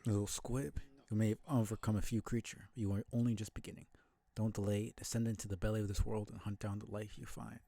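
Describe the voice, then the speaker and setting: A man voicing an ancient, gruff hunter beast from a video game, speaking in a solemn, archaic, commanding way. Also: fairly low pitch, flat delivery, fast, few pauses, dark tone, dry recording. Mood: stern, commanding. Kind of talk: video game character dialogue read aloud.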